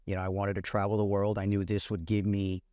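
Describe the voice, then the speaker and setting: A man in his thirties, a guest content creator, talking in a relaxed way and often saying "you know" and "man". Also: lowish voice, fast, no room sound. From Puerto Rican family, raised in California. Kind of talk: casual interview chat.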